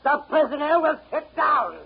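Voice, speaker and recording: An actor playing a stern, impatient judge with a pompous, curt official manner in a 1930s radio drama. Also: male voice, very high-pitched, expressive, moderate pace, creaky, exaggerated voice. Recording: dull 1930s radio recording.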